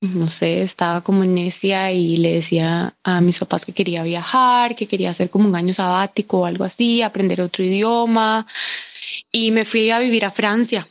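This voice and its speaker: A woman's voice: mid-range voice, fairly even intonation, normal speed. A young woman with a Costa Rican Spanish accent, an interview guest talking in a chatty, enthusiastic way, full of fillers and tag questions. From Costa Rica. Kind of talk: conversational interview.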